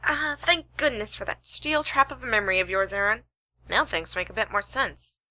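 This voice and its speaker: A woman's voice: medium pitch, lively intonation, moderate pace, pushed and bright, low-quality recording. A woman playing a keen, analytical amateur detective in an amateur radio play. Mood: curious.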